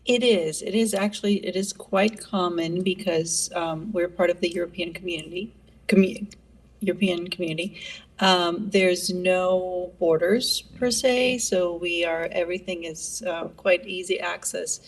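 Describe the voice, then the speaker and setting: A woman's voice: on the low side, steady tone, measured pace, distant mic. A middle-aged woman, a neurologist answering interview questions in a friendly way with many fillers. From Greece. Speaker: neurologist guest.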